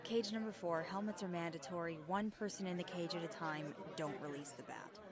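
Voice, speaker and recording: A woman voicing a curt, businesslike batting cage clerk, quieter and a little lower than her own voice. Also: a little fast, a crowd in the background, close to an everyday voice.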